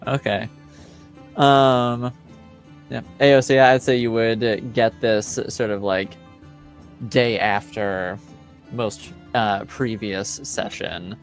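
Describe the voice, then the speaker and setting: A man improvising narration as game master in a tabletop roleplaying game, halting as he searches for his words. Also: lowish voice, fairly even intonation, faint music behind.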